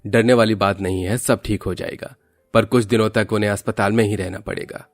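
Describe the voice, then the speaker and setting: A man playing a calm hospital doctor in a cartoon story, gently explaining and advising. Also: lowish voice, a little fast, dry recording, natural voice. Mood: reassuring.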